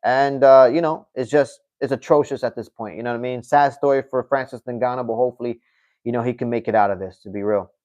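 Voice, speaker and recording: A man's voice: mid-range voice, steady tone, quick pace, a little mellow, lo-fi sound. A man hosting a casual livestream, reading out viewer comments and answering them in a loose, chatty way, often adding "you know what I mean.". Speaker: livestream host.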